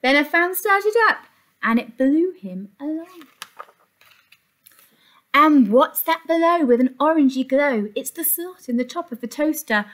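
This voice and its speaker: A woman's voice: high-pitched, lively intonation, measured pace, fairly bright tone. A woman reads a picture book to young children with sing-song emphasis, like an infant teacher. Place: small indoor classroom.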